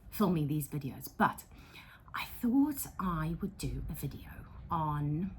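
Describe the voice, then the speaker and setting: A middle-aged South African woman hosting a casual vlog, chatty and self-deprecating, dropping into soft whispery asides. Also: deep voice, expressive, slow, a little hiss or hum. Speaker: psychotherapist and channel host. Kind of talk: casual talking-to-camera vlog. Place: her counselling room.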